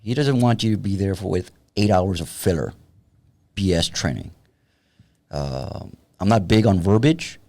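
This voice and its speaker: A man's voice: deep voice, expressive, a little slow, darkish tone. A man in his fifties from San Antonio, a guest and tactical trainer talking in a wry, plain-spoken way on a podcast. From San Antonio, Texas. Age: 50s. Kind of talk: casual podcast conversation.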